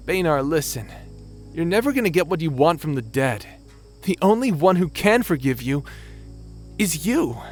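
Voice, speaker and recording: Youthful, easygoing space pirate played by a man, higher-pitched, teasing but supportive, audio drama with light music underneath. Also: very animated, normal speed, long pauses. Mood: reassuring. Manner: casual, teasing, reassuring.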